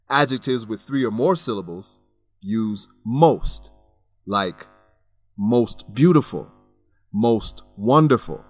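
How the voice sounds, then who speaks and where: A man narrating a scripted English lesson, enunciating deliberately as he explains words and grammar. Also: mid-range voice.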